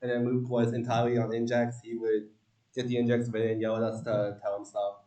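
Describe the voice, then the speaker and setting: A young man from Long Island giving a relaxed, joking student team presentation with a lot of ums. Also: mid-range voice, fairly quick, reverberant, recorded from a distance. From Long Island, New York. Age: young adult.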